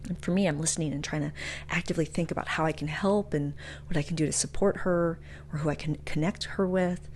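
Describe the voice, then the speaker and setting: A woman with a fairly low voice delivers a motivational talk in a casual, frank way. She tells personal stories with emphasis and slangy asides. A faint noise and hum sit behind her voice. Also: fairly even intonation, fast, darkish tone. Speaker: motivational speaker and podcast host.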